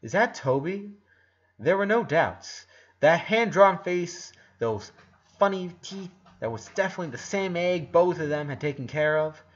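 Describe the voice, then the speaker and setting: A man reading a story aloud as an expressive narrator. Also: fairly high pitch, moderate pace, lo-fi sound. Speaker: fan fiction narrator.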